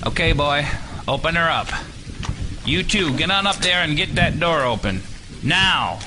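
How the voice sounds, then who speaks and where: A man playing a gruff, menacing older prison guard with a Louisiana Southern accent in an audio drama. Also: a little high, lively intonation, unhurried, pitched above the performer's own voice. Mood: commanding. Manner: folksy drawl when reminiscing, barking orders when angry. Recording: music bed under the voice.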